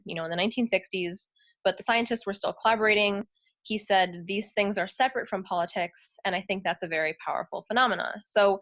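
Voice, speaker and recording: A woman's voice: mid-range voice, fairly bright tone. Woman with the flu, losing her voice. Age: young adult. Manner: halting, many ums, apologetic. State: ill, losing her voice, clearing throat. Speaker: guest speaker. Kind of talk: academic talk on a video call.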